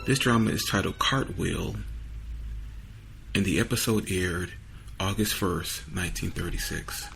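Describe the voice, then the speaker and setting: A man's voice: low-pitched, measured pace, vocal fry, long pauses, over loud music, poor audio. A man hosting a podcast, giving a casual spoken introduction. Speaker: podcast host.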